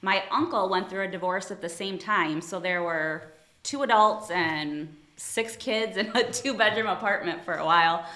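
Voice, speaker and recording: Bright-voiced woman in an echoey room. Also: fairly low pitch, normal speed. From Toledo, Ohio. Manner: laughs often, friendly and modest. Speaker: attorney, guest. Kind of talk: casual interview chat.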